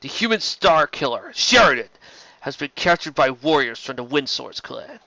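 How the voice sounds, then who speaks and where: A man's voice: medium pitch, big pitch swings, moderate pace, vocal fry, pushed and bright, dry recording, muffled recording, exaggerated voice. A man voicing a triumphant, contemptuous alien warrior leader with a haughty manner, in an audiobook reading.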